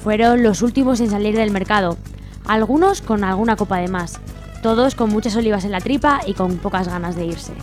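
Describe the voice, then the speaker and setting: A woman's voice: mid-range voice, a little fast. Female radio drama narrator over music. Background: music behind the voice.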